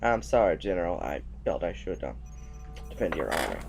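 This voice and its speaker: The devoted junior officer at the battle screens of a sci-fi radio play, a man acting, low-voiced, deferential and hesitant. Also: sing-song, brisk, creaky, a little mellow, faint music behind, full character voice. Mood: apologetic, loyal. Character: earnest, apologetic, loyal military aide. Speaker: actor in an audio drama. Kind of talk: audio drama acting.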